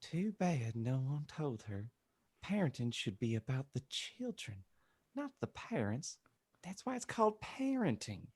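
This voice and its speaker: A man's voice: medium pitch, very animated, a little slow, a little mellow, slightly rough. A man voicing a genial, welcoming, preachy Southern reverend headmaster with an earnest, folksy manner in a comedic fan fiction reading. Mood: preachy, sorrowful.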